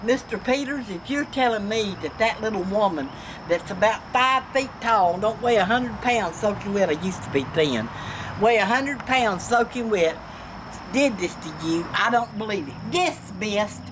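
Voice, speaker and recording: An older Southern woman with a Georgia accent spins personal tales in a casual, folksy way. Her delivery is blunt and salty, at a medium pitch. She is recorded outdoors on a porch, with clear background noise from vehicles and the surroundings. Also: lively intonation, moderate pace. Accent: Southern American, Georgia. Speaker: storytelling grandmother. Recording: noisy outdoor home video, passing vehicles.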